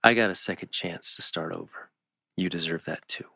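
A woman's voice: rumbling low, normal speed, creaky, pushed and bright, husky, no room sound, clean studio sound, exaggerated voice. A woman playing a terse, brooding teenage boy in a table read of a teen drama. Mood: subdued, hurt.

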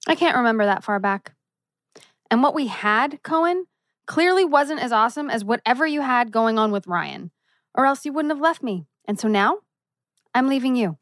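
A woman's voice: on the high side, expressive, moderate pace, a bit bright, no echo, clean studio sound. A woman playing an indignant, scornful young ex-girlfriend in an emphatic table read. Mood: scornful, hurt.

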